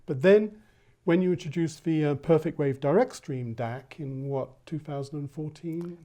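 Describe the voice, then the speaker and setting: A man with a fairly high, mellow voice asks careful questions in a calm, measured way. He draws out his guest with brief murmurs of agreement. It was recorded in a listening room. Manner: measured, prompting with short affirmations. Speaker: magazine editor, interviewer. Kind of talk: interview questions.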